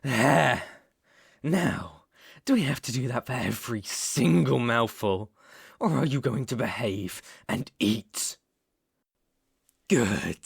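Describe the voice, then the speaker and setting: A man's voice: medium pitch, gravelly, slightly rough, no echo, full character voice. A British man playing an obsessive, possessive young kidnapper in an intimate ASMR roleplay, coaxing and menacing in a condescending way, close on the microphone. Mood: angry, controlled.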